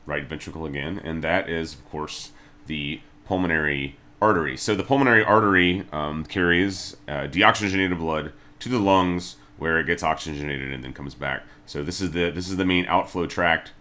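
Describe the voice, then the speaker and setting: A man's voice: a bass voice, normal speed, bright, forceful tone. A man giving a casual tutorial as an instructor, talking informally and a little hesitantly, step by step. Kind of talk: casual video tutorial narration.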